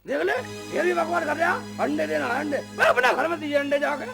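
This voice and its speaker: A man's voice: very high-pitched, a little fast, vocal fry, music in the background, heavily acted. A man playing a boastful, foolish village husband in a broad rustic comedy skit, bickering and throwing insults. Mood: outraged. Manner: blustering, bickering, insult-laden. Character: loud, boastful, foolish village husband, quick to take offence.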